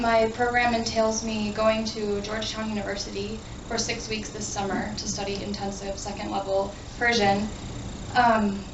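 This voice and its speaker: A young woman, a graduate student, giving a short grateful speech with frequent ums. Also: medium pitch. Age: young adult. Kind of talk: short thank-you speech. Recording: dull, echoey, distant audio with noise.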